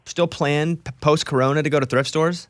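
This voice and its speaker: A man's voice: a little high, steady tone, fairly quick, fairly bright tone, smooth, no room sound. A man hosting a radio interview in a casual, easygoing chat. He talks with eager enthusiasm and keeps restarting sentences. His voice sits close and dry on the mic, with no room around it. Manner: chatty, enthusiastic, trails into restarts. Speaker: radio show host.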